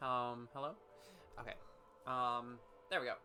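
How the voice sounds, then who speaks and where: Man, bright forceful tone, casual playful banter, read-aloud commentary, word riffing, faint music behind. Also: medium pitch, level tone, measured pace. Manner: jokey, playful, riffs on misspelled words. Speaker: co-reader and commentator on a comedy reading stream.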